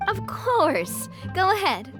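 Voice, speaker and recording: A woman playing a warm, gracious young woman who leads a protective society in a game. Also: extremely high pitch, huge pitch swings, slow pace, over music, heavily acted. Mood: cheerful.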